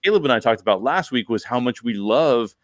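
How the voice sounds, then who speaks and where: A man's voice: medium pitch, animated, a little fast, no echo, clean studio sound. An American man in his forties hosting a casual podcast discussion, talking emphatically and repeating words for emphasis. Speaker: podcast host. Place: bedroom at home, on a video call. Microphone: close desk microphone with headset.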